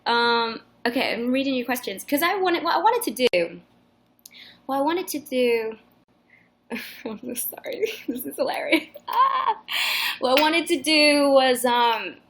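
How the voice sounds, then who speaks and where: A woman's voice: sits high, very animated, measured pace, fairly bright tone. A woman in her twenties with a mixed Northern English accent, chatting casually with fans in a live Q&A, rambling and swearing with many restarts. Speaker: singer hosting a live fan Q&A. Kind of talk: casual livestream chat.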